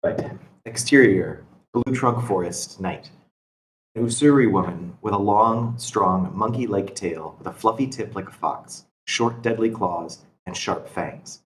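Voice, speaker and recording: A man's voice: on the low side, measured pace. A man reading screenplay action lines as scripted narration at a table read, recorded in an echoey room. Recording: echoey room with faint noise.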